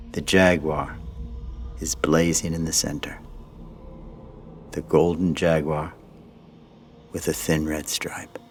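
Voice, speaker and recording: A man's voice: deep voice, darkish tone. A man narrating the stage directions of a radio play in terse, declarative statements.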